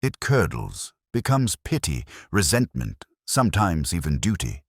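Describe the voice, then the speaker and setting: A male narrator plays a weary widow in a mystery story, composed and resigned. The words come quietly and deliberately in a very low, husky voice. The sound is dry and close. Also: wildly swooping pitch, no echo, heavily acted. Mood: weary reflection.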